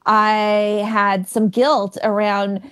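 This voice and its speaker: Woman, forties, interview guest with a clear stutter; candid, quick asides. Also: mid-range voice, flat delivery, fairly bright tone. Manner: stutters, with repeated sounds and blocks. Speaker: comedian and author, guest. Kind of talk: interview conversation.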